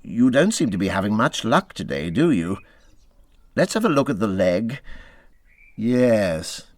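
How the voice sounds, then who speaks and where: A man's voice: lowish voice, sing-song, unhurried, a little mellow, no room sound. A man voicing a kindly, practical water rat in a storybook reading, with a considered and reassuring manner. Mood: kindly, concerned. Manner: considered, reassuring, matter-of-fact. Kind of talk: storybook character voice in audiobook reading.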